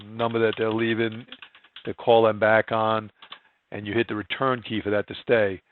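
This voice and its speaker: A man narrating a screen-share software tutorial, explaining each step in an informal, plain-spoken way. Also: lowish voice, no echo, phone-quality sound. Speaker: software trainer.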